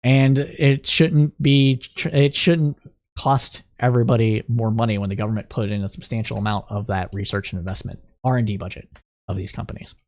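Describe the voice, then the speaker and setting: A man with a slightly raspy voice hosting a call-in political news podcast, explaining the week's news and reading reports aloud, with frequent fillers and restarts. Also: fairly low pitch, fairly even intonation, moderate pace, darkish tone. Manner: thinking aloud, many fillers and restarts, reads news excerpts aloud. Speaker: podcast host.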